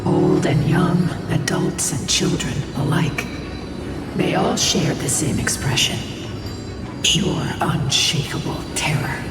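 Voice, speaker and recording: An actress playing a solemn, mournful ancient guardian spirit in a fantasy game, speaking gravely in a hushed voice. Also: female voice, sits low, slow. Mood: grim, sorrowful. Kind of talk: dramatic fantasy game voice acting. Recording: echoing hall reverb, distant, loud music.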